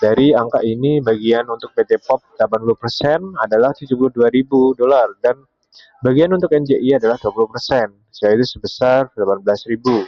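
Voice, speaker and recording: A man's voice: mid-range voice, brisk, no room sound, lo-fi sound. A young man giving a step-by-step explanatory presentation as a university student. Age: young adult.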